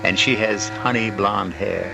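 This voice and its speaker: A man's voice: fairly low pitch, steady tone, a little slow, creaky, noisy background. A man playing a wry, ominous host, delivering droll, theatrical old-time radio narration. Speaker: mystery show host. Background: music.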